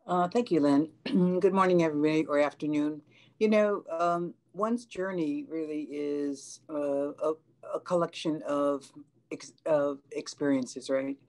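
A woman's voice: low voice, fairly even intonation, a little slow, darkish tone. An older woman whose first language is Chinese, telling her story as a panelist over a video call, earnest, with frequent hesitations and restarts. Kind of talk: personal account on a panel. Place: home room, indoors.

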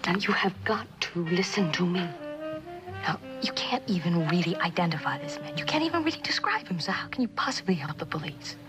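An actress playing an anxious, pleading young woman in a secret affair, halting and earnest, in a 1960s television drama. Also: fairly low pitch, animated, music in the background, close to an everyday voice.

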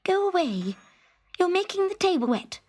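A woman's voice: very high voice, sing-song, normal speed, a little mellow, pitched above the performer's own voice. A woman voicing a spoiled, squeamish young princess in a storybook reading, snappish and exclaiming, petulant and tearful. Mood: disgusted, snappish. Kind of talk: storybook character acting.

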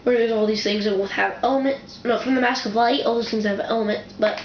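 A woman's voice: fairly high pitch, quick pace. A woman reviewing a building toy she built, speaking in a casual, rambling way from off camera, often correcting herself mid-sentence. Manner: rambling, self-correcting, excitable. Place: indoor room with a wooden floor, off camera. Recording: dull, echoey home camera recording with faint hiss.

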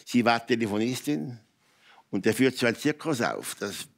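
An older man with a Swiss German accent, telling personal stories as a talk show guest in emphatic, halting phrases with repetitions. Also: mid-range voice, animated, vocal fry. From Switzerland. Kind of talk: candid personal storytelling in conversation. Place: TV talk show studio with audience.

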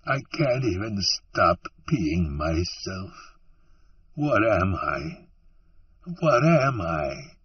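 A man voicing an ashamed, frightened drifter who pleads slowly in rustic grammar, close to tears. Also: fairly low pitch, very animated, breathy edge, no room sound, distant mic, dull audio, heavily acted. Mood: tearful. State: tearful.

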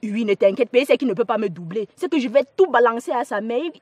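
A woman's voice: fairly high pitch, animated, fast, darkish tone, no echo. A woman with a Cameroonian accent playing an evasive, pleading young gossip in a family drama. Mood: gossipy, reassuring.

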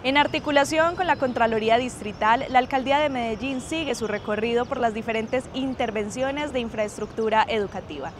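A young Colombian woman presents scripted news in a high voice. She speaks outdoors in a city, with clear traffic noise behind her. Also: brisk, few pauses. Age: 20s.

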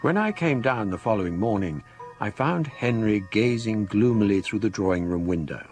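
An actor playing an older, scholarly English gentleman who narrates a ghost story in a measured, formal, literary manner for a radio drama. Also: on the low side, expressive, soft background music, lightly acted. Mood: grave, reflective.

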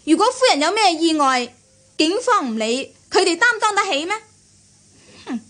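A woman's voice: extremely high pitch, big pitch swings, normal speed, fairly bright tone, heavily acted. A woman playing a strict young nurse in a radio drama, firm, scolding and authoritative. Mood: stern, threatening. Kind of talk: Cantonese radio drama acting.

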